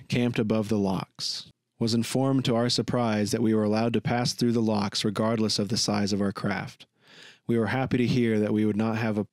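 A man narrating a written account for a podcast in a measured, steady way. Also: on the low side, dry recording. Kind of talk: scripted narration of a magazine article.

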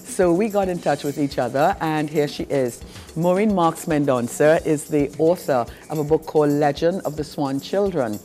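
A woman hosting a TV talk show, chatty and quick with her questions. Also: low voice, dark tone, soft background music. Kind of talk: TV interview. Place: TV studio set.

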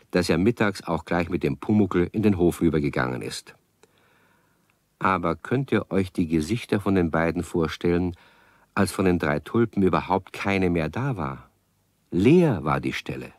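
A man narrating a children's radio play as an animated storyteller, speaking directly to young listeners. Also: deep voice, normal speed, dry recording, clean studio sound. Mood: engaged.

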